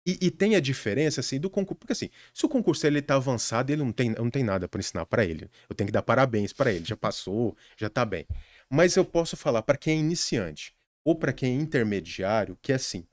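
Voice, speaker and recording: Young adult Brazilian man, podcast guest; earnest, animated, dry studio mic. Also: mid-range voice, fast talker, dry recording. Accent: Brazilian Portuguese. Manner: earnest, animated advice-giving with frequent restarts. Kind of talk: casual podcast conversation.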